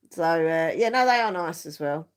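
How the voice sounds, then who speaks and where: A woman in her forties hosting a livestream, chatting casually, laughing often and trailing off mid-sentence. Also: low-pitched. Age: 40s. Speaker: livestream host. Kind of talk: casual chat.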